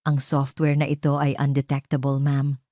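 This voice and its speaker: Filipino tech expert, male, thirties acted by a woman narrator, audio drama: businesslike, explanatory, mellow, closely recorded and dry. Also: extremely low pitch, completely flat delivery, moderate pace, no echo. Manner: matter-of-fact, explanatory.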